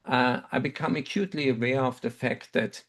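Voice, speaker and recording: A man's voice: medium pitch, a bit bright. German-accented man telling a story. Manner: measured, reflective, with frequent ums. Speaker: webinar speaker, dental researcher. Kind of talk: personal storytelling talk.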